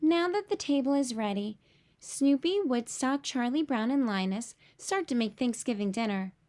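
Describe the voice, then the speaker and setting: High-voiced woman reading a children's storybook. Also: animated, normal speed, a little mellow. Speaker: read-aloud storyteller for children.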